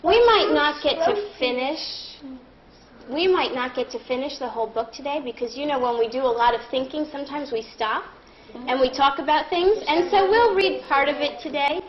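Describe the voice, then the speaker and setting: A woman leading a read-aloud lesson as a primary teacher, deliberate and instructive, thinking out loud. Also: sits high. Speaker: primary school teacher reading aloud to a class. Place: classroom. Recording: muffled classroom recording with clear background noise.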